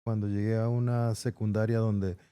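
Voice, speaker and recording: A middle-aged man from Peru talks as a guest in a casual interview. His voice sits low and sounds warm and relaxed. He gives short, plain, unhurried answers. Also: clear, resonant tone. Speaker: guest, construction entrepreneur.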